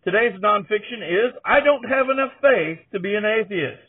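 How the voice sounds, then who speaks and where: A man's voice: high voice, animated, normal speed, husky, narrow, tinny audio. A man hosting a podcast, presenting in a conversational style. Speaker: podcast host.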